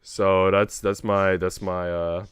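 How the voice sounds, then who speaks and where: A man's voice: deep voice, level tone, takes it slowly, clean tone, dry recording, clean studio sound. A man talking casually on a livestream, rambling along in slangy speech and swearing freely. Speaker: streamer reading a visual novel aloud.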